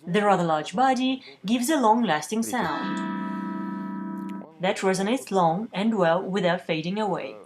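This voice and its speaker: A woman reading a translated news voice-over at a measured, steady pace, recorded in a booth. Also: mid-range voice, over music. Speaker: voice-over interpreter for a news feature.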